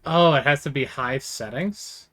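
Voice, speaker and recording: A man with a booming voice giving casual live commentary as he plays a video game, thinking aloud as he goes. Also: unhurried, fairly bright tone. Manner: chatty, thinks aloud while playing. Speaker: streamer.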